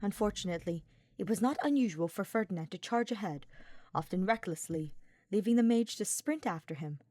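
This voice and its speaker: A woman's voice: mid-range voice, expressive, a little fast, a little mellow, no echo. Woman narrating a story, very dry sound. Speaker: podfic narrator.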